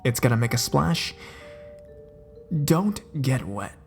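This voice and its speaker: A man telling scary stories in a scripted narration, dropping his voice low and slowing down for suspense. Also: big pitch swings, gravelly. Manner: dramatic, drops low and slows for suspense. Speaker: horror story narrator. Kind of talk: scripted horror story narration. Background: faint music.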